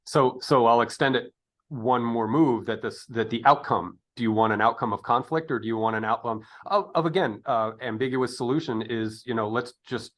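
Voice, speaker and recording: A man's voice: medium pitch, normal speed, fairly bright tone. A middle-aged man in a reflective interview conversation, speaking earnestly and hesitantly as he searches for his words. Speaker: interview host.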